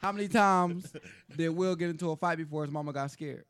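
Fast-talking blunt man, dry sound. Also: fairly high pitch, a bit bright, no room sound. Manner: quick, blunt, talkative. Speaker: podcast co-host. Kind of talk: casual podcast banter.

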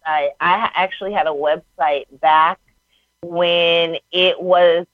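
A woman's voice: fairly low pitch, fairly even intonation, slow pace, no room sound. Woman caller, emphatic chatty storyteller, phone-line sound. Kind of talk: casual chat.

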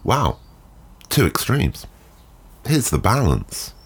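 A man hosting a solo photography podcast, sounding nasal and congested from a cold, speaking in a measured, thoughtful way with frequent ums. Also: on the low side, wildly swooping pitch, breathy edge. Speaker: podcast host. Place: garden shed.

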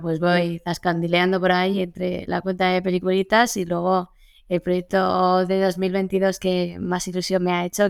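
A woman's voice: lowish voice, gravelly, smooth, dry recording, clean studio sound. A young woman in her twenties, a comic author, chatting as a laid-back radio guest. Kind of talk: casual radio interview.

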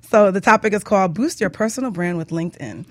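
A woman's voice: medium pitch, expressive, fast talker, no room sound. A woman hosting a talk show in casual radio chat, chatty and informal. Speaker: host of a talk show, business coach.

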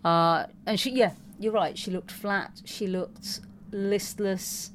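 A woman's voice: mid-range voice, fairly even intonation, slow, a little hiss or hum. A woman co-hosting a casual tennis podcast, chatty and self-mocking, with frequent fillers and restarts. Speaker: podcast co-host.

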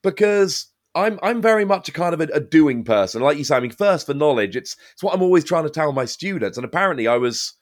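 A man's voice: fairly high pitch, fast talker, hardly any pauses. A man in his thirties, a podcast host, chatting casually and telling stories with animation. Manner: animated, lively storytelling. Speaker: podcast host and card game caster. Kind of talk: casual podcast interview chat.

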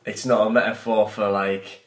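A man's voice: lowish voice, flat delivery, moderate pace. A man chatting casually with friends as an author, jokey and mock-defensive. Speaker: author and podcast co-host. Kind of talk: casual banter among friends. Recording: echoey room, audible background noise.

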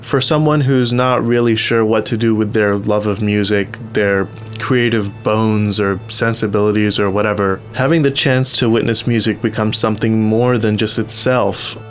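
A man, a student, reading a reflective, earnest closing narration. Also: lowish voice. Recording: faint music underneath.

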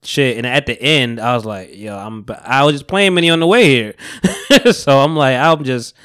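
A man's voice: mid-range voice, lively intonation, a bit bright, smooth, dry recording, clean studio sound. A man in his thirties hosting a casual podcast chat, playful and curious, firing off questions. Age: 30s. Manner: playful, curious, quick questions, swears freely. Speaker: podcast host.

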